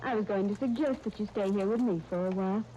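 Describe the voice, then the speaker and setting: An actress playing a gentle, patient young wife in a 1930s radio serial, speaking soothingly. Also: female voice, mid-range voice, fast, natural voice. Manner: soothing, reassuring. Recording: dull, lo-fi 1930s radio disc, faint noise.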